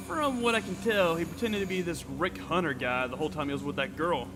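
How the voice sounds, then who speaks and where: A man's voice: a little high, a little fast, lightly acted. A man voicing a casual, offhand squadron leader in a parody anime dub. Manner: offhand, loose. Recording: noisy vehicle ambience, long reverb.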